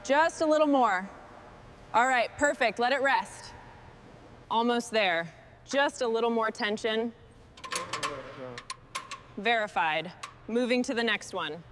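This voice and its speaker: Higher-pitched woman, noisy background. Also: animated, takes it slowly, pauses often, fairly bright tone. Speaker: mechanic leading a truck restoration. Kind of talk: short workshop instructions and commentary.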